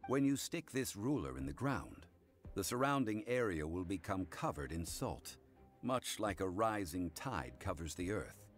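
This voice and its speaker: An actor playing a calm, dignified male scholar and consultant in an anime game, speaking formally and in a measured way. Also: deep voice. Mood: composed, formal. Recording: music behind the voice.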